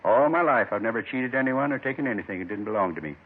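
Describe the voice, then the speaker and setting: An actor playing an earnest, resigned American robbery suspect in a 1950s radio drama. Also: male voice, mid-range voice, animated, fast talker, breathy edge. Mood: remorseful, earnest. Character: remorseful, earnest male drunk turned robbery confessor. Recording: tinny 1950s radio recording.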